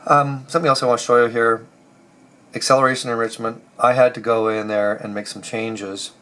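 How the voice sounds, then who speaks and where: A man with a hoarse voice from a cold, casually walking through engine tuning settings and thinking aloud with halting pauses. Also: mid-range voice, little pitch movement, a bit bright. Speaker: car builder explaining engine tuning. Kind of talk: casual technical walkthrough.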